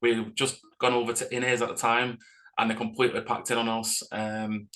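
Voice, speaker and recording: Man, medium pitch, band vocalist, casual interview chat, self-deprecating. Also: level tone, fairly quick, sharp tone, rough recording.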